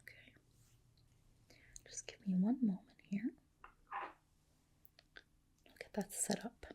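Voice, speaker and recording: A woman whispering a soothing ASMR roleplay as a reassuring clinician, in a soft, breathy voice. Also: medium pitch, takes it slowly.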